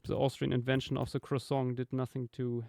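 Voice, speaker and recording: A man's voice: mid-range voice, level tone, brisk, vocal fry, mellow, dry recording. A man chatting casually on a live stream over a voice call, dropping dry, teasing jokes. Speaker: stream guest.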